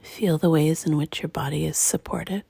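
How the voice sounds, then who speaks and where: A woman leading a guided meditation in a hushed, breathy, gentle and unhurried way, leaving long pauses between phrases. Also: low-pitched, steady tone, dry recording. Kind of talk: guided meditation, slow and soothing.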